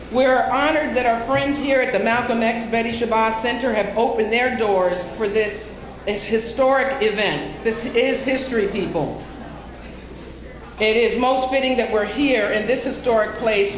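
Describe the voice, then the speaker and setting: A woman with a fairly high voice hosts a memorial tribute as emcee. She speaks in earnest, formal oratory with halting pauses. The live hall recording is reverberant, echoey and noisy. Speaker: master of ceremonies, co-moderator. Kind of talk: public speech at a memorial tribute. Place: large packed hall at a live event.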